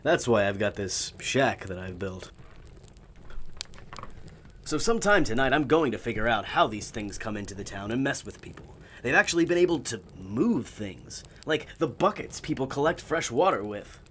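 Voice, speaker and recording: Guy playing a polite magician fella, earnest, kinda formal, medium pitch, audio drama, bit of background noise. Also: sing-song, moderate pace, a bit bright. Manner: courteous, slightly formal phrasing.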